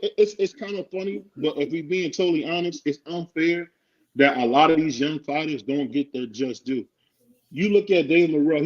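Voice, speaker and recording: Husky man on a lo-fi boxing podcast. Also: a little high. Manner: joking, argumentative, laughs often. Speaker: podcast co-host. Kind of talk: casual sports talk. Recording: dull lo-fi sound.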